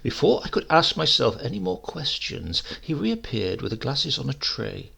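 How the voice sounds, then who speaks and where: A man reads a short story aloud with a heavily creaky, gravelly voice. The tone is bright and forward, with a husky rasp. His delivery is measured and theatrical, rising and falling in a lively way. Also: mid-range voice. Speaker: story narrator. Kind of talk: dramatic scripted narration of a humorous short story.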